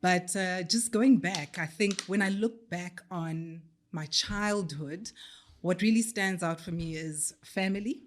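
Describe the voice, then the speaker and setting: A woman from Zimbabwe, a lawyer, speaking as a guest in a relaxed podcast interview; composed and quick to laugh. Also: on the low side. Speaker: guest, forensics and investigations lawyer. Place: studio in Johannesburg.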